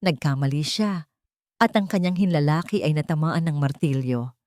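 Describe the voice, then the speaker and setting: A woman's voice: deep voice, moderate pace, a little mellow, no room sound, clean studio sound. A woman reading a scripted romance story as a storyteller.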